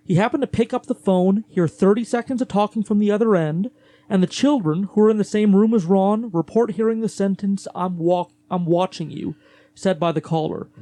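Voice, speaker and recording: Higher-pitched man with a mellow voice. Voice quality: voice gets gravelly later in the day. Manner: conversational, with fillers and restarts. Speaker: podcast host narrating a case. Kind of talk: casual true-crime storytelling.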